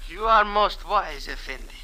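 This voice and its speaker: A man's voice: sits high, expressive, normal speed, bright, forceful tone, exaggerated voice, rough recording. A man playing a servile, superstitious little Egyptian messenger in an old-time radio drama, speaking in ornate, deferential phrases. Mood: humble, courteous. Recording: vintage radio drama recording.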